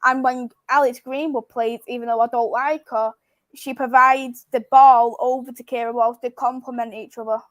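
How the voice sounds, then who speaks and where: A woman's voice: on the high side, steady tone, normal speed. A woman hosting a football watchalong, giving earnest match analysis and teasing her co-host. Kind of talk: casual football chat.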